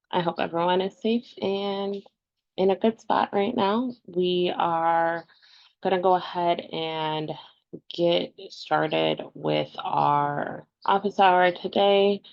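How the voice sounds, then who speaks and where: A woman opens an online session with a gravelly, lower-pitched voice. She speaks slowly and unhurriedly, with fillers. The audio is dull and lo-fi. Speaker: session host. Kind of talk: opening remarks for a webinar.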